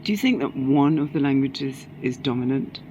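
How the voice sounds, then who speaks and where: A woman interviewing a guest, asking structured questions in a measured way with short prompts. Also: a bass voice, animated, dark tone, dull audio, a little hiss or hum. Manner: measured, steers with short prompts and back-channel murmurs.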